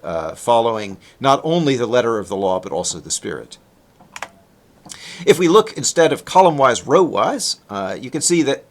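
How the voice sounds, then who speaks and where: A man presents technical research in an explanatory, conversational lecture style. His intonation is lively and moves up and down a good deal. He talks as a researcher walking listeners through slides. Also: medium pitch. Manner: explanatory, conversational, with rhetorical questions.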